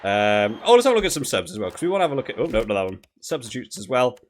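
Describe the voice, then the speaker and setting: A man with a mid-range voice comments casually as he plays a video game. He thinks aloud in halting, repeated phrases and picks critically at what he sees. Faint noise sits behind his voice. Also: mid-range voice, sing-song. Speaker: gaming video creator reviewing while playing.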